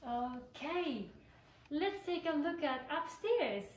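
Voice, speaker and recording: A woman slowly guiding a walking tour through a large indoor craft shop, admiring the goods as she goes. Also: high-pitched, lively intonation, distant mic. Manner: slow, careful, admiring. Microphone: camera at a distance. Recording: roomy, from off-mic, music behind.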